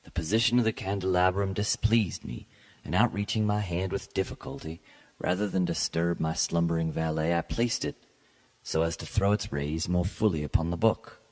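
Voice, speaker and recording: A man giving a dramatic, scripted reading of classic literature as a podcast host, with a gravelly, husky voice and a measured, formal, theatrical delivery. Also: sits low, darkish tone, low-quality recording. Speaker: podcast host and story reader.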